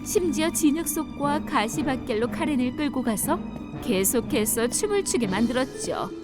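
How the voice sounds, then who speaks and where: A woman's voice: high-pitched, animated, moderate pace. A woman narrating a dubbed children's fairy-tale cartoon in a storytelling manner, with music behind her.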